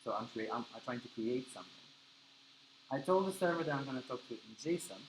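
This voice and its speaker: A man's voice: medium pitch, lively intonation, quick pace, a little hiss or hum, low-quality recording. A man whose first language is Spanish teaches a live coding class, explaining step by step and checking in with his students. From Argentina. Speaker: coding instructor.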